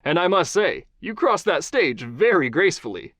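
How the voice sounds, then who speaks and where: Slick, jovial male late-night host, lifted and lively, played by a man narrator. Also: high voice, moderate pace, dry recording, voice pushed up. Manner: jovial, chummy, playful. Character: charming smooth male talk-show host. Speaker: talk-show host figure.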